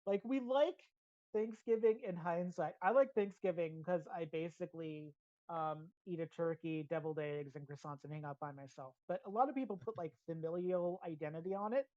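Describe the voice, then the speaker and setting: An American woman with a fairly low voice talks casually through her opinions like a podcast host. She is chatty and drops in asides. The sound is very dry and a little dull, with limited bandwidth. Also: moderate pace, mellow, no room sound. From United States. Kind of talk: casual opinionated pop-culture review. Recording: slightly dull, band-limited audio.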